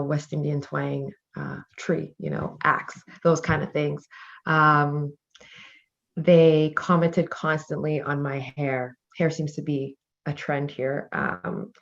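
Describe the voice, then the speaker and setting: A woman's voice: low voice, vocal fry, lo-fi sound. A woman in her thirties from Canada, speaking earnestly on a panel discussion with many fillers and growing intensity. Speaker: panel guest.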